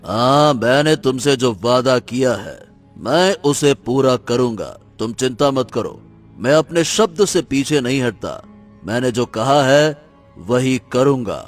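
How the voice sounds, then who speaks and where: Brave rescuer for animated drama, male actor: resolute, earnest, mid-pitched, very expressive delivery. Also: a little slow, faint music behind, lightly acted. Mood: calm, firm. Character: brave, resolute human hero rescuing captives. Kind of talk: dramatic animated story dialogue.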